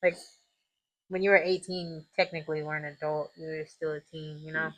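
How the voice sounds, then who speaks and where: Woman host, lowish voice, blunt and teasing, slangy casual interview. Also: flat delivery, a little fast, a bit bright, low-quality recording. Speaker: podcast host, tattoo artist. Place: tattoo studio.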